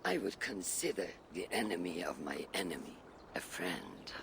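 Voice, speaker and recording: Very deep, gravelly conspirator. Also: male voice, sing-song, noisy background, full character voice. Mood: conspiratorial. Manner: formal, deliberate. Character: shrewd, secretive warrior ally offering a pact. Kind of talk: dramatic video game acting.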